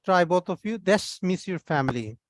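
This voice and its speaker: A man's voice: high-pitched, lively intonation, normal speed, dry recording. A man teaching an online English class, patiently correcting his students' grammar over a video call.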